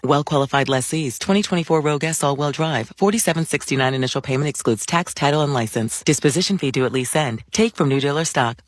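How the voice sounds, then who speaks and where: Fast-talking male advert announcer. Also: medium pitch, no echo. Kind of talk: scripted sales pitch and disclaimer read.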